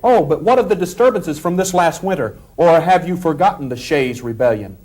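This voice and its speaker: An actor playing a forceful eighteenth-century American convention delegate, arguing formally and insistently in a period drama. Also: male voice, on the high side, expressive, a little fast. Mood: indignant, firm. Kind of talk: period stage-like debate acting.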